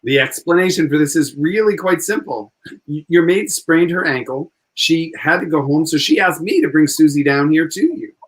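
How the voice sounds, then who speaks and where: A man playing a friendly, reasonable gentleman with an easygoing, explanatory manner in a 1940s-style radio play. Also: a little high, lively intonation, normal speed, rough recording.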